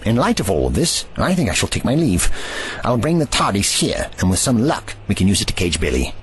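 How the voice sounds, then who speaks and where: Self-assured eccentric time traveller, played by a man, lower register, formal phrasing, audio drama, faint noise behind. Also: big pitch swings, a little fast. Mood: calm, decisive. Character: brisk, calmly scheming eccentric male time traveller.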